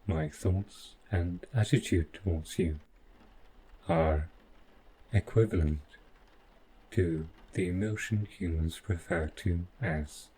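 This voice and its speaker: A man playing a devoted, possessive robot butler in an audio roleplay, speaking in a formal, measured, precise way with halting phrases. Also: deep voice, warm tone, a little hiss or hum, poor audio. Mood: clinical, insistent. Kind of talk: scripted roleplay audio drama monologue.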